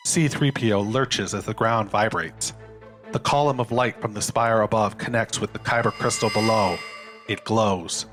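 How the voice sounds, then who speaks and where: Low-voiced male narrator over clear music. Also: normal speed, a bit bright. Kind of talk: scripted screenplay narration.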